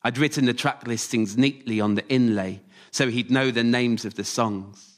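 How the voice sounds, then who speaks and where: A man performing a scripted autobiographical story live, delivered in measured short phrases. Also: medium pitch. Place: radio theatre stage, before a live audience.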